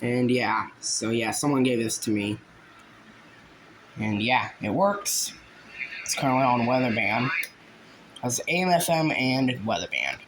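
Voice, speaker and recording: A man giving a casual, halting show-and-tell, informal and often trailing off. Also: medium pitch, animated. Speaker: hobbyist video creator. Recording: noisy home video with clicks.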